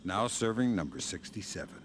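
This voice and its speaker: An actor playing a sardonic, menacing covert agent in a video game, with dry deadpan delivery. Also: male voice, fairly low pitch, a little fast, background noise, lightly acted. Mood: mocking, threatening.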